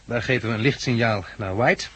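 A man acting a steady, reflective middle-aged husband and father in a 1960s radio drama, with a husky voice and a measured, earnest delivery. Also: mid-range voice, no room sound. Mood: earnest, resolved.

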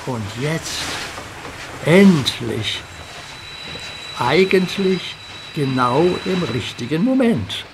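Measured male storyteller, slow and steady, noisy track. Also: on the high side. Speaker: narrator. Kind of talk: scripted children's story narration. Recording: noisy soundtrack recording.

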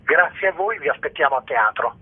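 A man's voice: fairly high pitch, lively intonation, fairly quick. A man, a theatre director being interviewed on radio, explaining a play in an erudite, emphatic, literary way. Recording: narrow, echoey telephone line.

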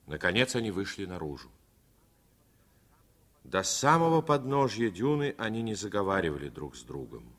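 A man narrating a 1950s radio drama as a measured, expressive storyteller. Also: deep voice.

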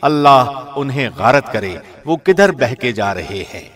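A man formally reading a scripture translation in a measured, solemn radio presenter's manner. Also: mid-range voice, animated, fairly bright tone. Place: studio.

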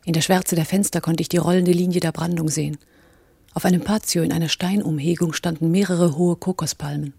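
An actor playing the observant, sober first-person narrator of a crime radio drama, in measured descriptive tones. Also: medium pitch, steady tone, gravelly, darkish tone, breathy edge, dry recording.

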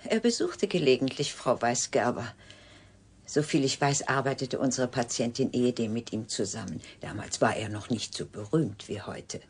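A woman's voice: very deep voice, animated, normal speed, darkish tone, slightly rough, full character voice. A woman playing a cool, sardonic clinic doctor in a German radio drama, speaking in a clipped, dry, condescending way. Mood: curt, guarded.

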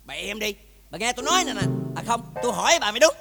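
A man's voice: extremely high pitch, big pitch swings, racing, vocal fry, in a large hall, over music, full character voice. A man with a southern Vietnamese accent acting a suspicious, blustering husband in a comic domestic drama, exclamatory and folksy. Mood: angry accusing. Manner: exclamatory, folksy, muttering to himself then scolding. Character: suspicious, blustering husband bickering with his gambler wife. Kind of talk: comedic stage-style domestic drama acting.

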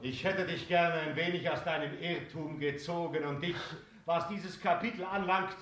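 An actor playing a sensible stage player in a radio drama, speaking emphatically and theatrically. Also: male voice, fairly high pitch, steady tone, moderate pace, a bit bright, natural voice. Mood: reasoning, teasing. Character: sensible male stage player, reasoning and anxious to keep order. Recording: very echoey stage, faint noise.

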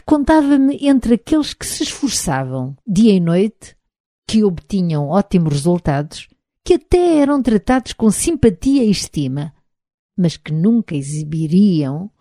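A woman with a European Portuguese accent reading a literary novel aloud in the first person, measured and reflective. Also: on the low side, big pitch swings, dark tone, dry recording, clean studio sound. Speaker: audiobook narrator. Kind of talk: literary audiobook narration.

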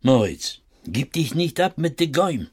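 A man's voice: fairly high pitch, sing-song, unhurried, slightly rough, full character voice. An actor playing a protective, wary butcher father in a radio play, speaking insistently in Yiddish-influenced German. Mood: anxious warning. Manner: insistent, inverted word order.

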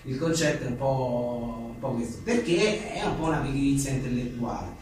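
A man's voice: mid-range voice, lively intonation, moderate pace, darkish tone. A man being interviewed as an author at an informal book presentation, rambling animatedly and acting out imagined exchanges. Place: echoey indoor venue at a live event. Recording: very echoey room, distant mic, faint noise.

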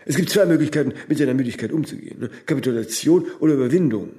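A man playing a vain, tireless older celebrity in a radio play. His voice is dark and relaxed at a middling pitch, and he rambles through stubborn pronouncements, often tagging them with a short question. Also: racing, close to an everyday voice. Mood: stubborn, brisk. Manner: rambling pronouncements, frequent tag "ne?". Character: famous, tireless, vain older male workaholic, stubborn and philosophising. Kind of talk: radio drama dialogue.

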